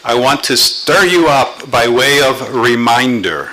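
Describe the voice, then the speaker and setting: Slow-speaking man with an American accent. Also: medium pitch, pushed and bright, low-quality recording. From born in Germany, raised in the United States. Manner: speaks in short phrases, pausing for the interpreter. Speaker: speaker at a creation conference. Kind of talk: lecture with consecutive interpretation. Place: conference hall.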